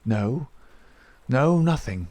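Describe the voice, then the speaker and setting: A man's voice: medium pitch, lively intonation, drawn-out words, a little mellow, voice pushed up. A man voicing a suffering, confused dying man in an audiobook, gasping and faltering as he pleads. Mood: faint, confused. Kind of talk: dramatised audiobook reading.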